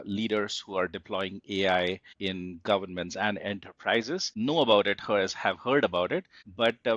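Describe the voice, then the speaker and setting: A man's voice: lowish voice, fairly bright tone, no room sound, muffled recording. A man hosting a podcast interview, conversational and appreciative, asking long, meandering questions.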